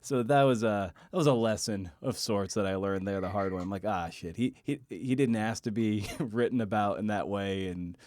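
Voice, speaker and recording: A man hosting a podcast interview in a casual, halting way, often repeating words as he forms his thoughts. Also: lowish voice, a little mellow, dry recording. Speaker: podcast host and writer.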